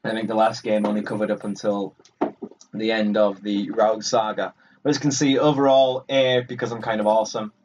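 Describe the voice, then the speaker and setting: A man's voice: fairly low pitch, level tone, brisk, darkish tone. A man casually commentating on his own video game playthrough as the host, in a playfully boastful way. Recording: muffled, distant mic over game music. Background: game music.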